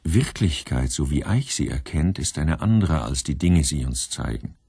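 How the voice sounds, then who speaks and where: Deep husky guy narrating radio script, slow, very lively intonation, dry studio. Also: dry recording.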